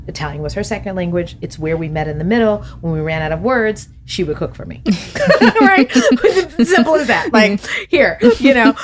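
A woman's voice: mid-range voice, slight background noise. A middle-aged woman, a podcast guest and author, talking reflectively in a studio interview, gesturing as she speaks and laughing often. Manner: thoughtful, animated hand gestures, laughs often. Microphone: studio mic on boom arm.

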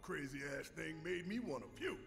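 Very gravelly, scornful rebel fighter. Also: male voice, on the high side, big pitch swings, moderate pace. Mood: gruff, scornful. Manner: blunt, streetwise. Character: gruff, scornful big male rebel fighter. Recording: reverberant space with music behind.

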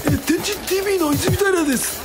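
Casting: pushy female TV reporter, high voice, critical questioning, loud music underneath. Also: fast. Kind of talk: radio drama acting, press conference questions. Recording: music behind the voice.

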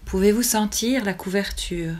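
A woman leading a guided relaxation as a yoga nidra teacher, calmly and soothingly, with long pauses between short phrases. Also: mid-range voice.

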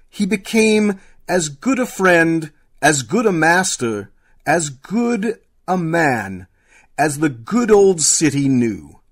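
A man narrates a scripted radio drama. His high voice moves slowly and swings widely in pitch, telling the story with theatrical delivery.

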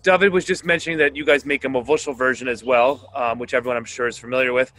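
Male host, mid pitch, rapid casual interviewer, faint music behind. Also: steady tone, a bit bright.

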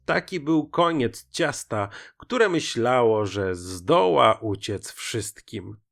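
A man narrating a scripted children's fairy tale in an expressive, dramatic way. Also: mid-range voice, slow pace, creaky, a little hiss or hum. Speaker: storyteller. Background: music.

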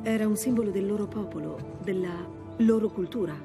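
A determined female treasure hunter with a sardonic edge, played by a woman. She sounds natural and mid-pitched, like video game drama, with prominent music underneath. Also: fairly quick, vocal fry, close to an everyday voice. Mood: earnest.

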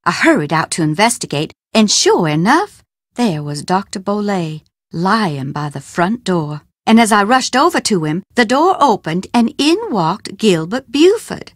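A woman's voice: medium pitch, sing-song, measured pace, no echo, studio quality. A woman voicing a scheming, mystical housekeeper in a video game, speaking in a drawling, theatrical, sly way. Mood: calm, secretive.

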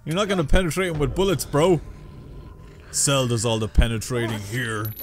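A man in his twenties giving excited, casual reaction commentary, exclaiming as he hosts. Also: mid-range voice, moderate pace, background noise. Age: 20s. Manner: animated, exclaiming. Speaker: reaction video host. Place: small bedroom gaming setup indoors. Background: show audio and music playing faintly.

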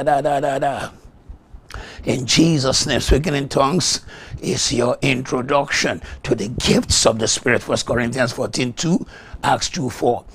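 A middle-aged male pastor whose first language is Yoruba delivers a fervent studio sermon on a lapel mic. His voice is gravelly and a little husky, and he switches between teaching and rapid, rhythmic streams of tongues. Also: mid-range voice. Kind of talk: televised morning devotional sermon with tongues. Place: TV studio set.

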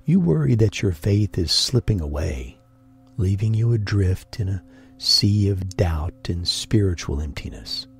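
A man guiding a sleep meditation in a gentle, deliberate, breathy voice with long pauses. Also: deep voice, expressive. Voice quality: husky, breathy. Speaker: meditation guide and host. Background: music.

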